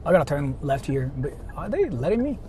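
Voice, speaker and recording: A man's voice: fairly high pitch, sing-song, brisk, warm tone, a little hiss or hum. A man streaming live while he drives, talking to his chat and singing along to songs between remarks. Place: in a car.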